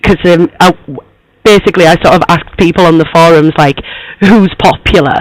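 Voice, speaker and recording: A man doing a chatty, hoarse girl reporter. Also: fairly high pitch, steady tone, brisk, no echo, natural voice, poor audio. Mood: friendly, chatty. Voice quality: rambling, filler-heavy, lots of "like" and "um". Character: young woman magazine journalist, chatty and polite. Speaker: prank caller posing as interviewer. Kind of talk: prank phone call.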